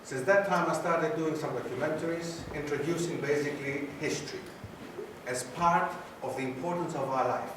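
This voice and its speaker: A man's voice: fairly high pitch, normal speed, background noise. A man giving a lecture to a student society, questioning the audience and repeating his key points. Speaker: guest lecturer. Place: lecture hall. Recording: very echoey hall from far off.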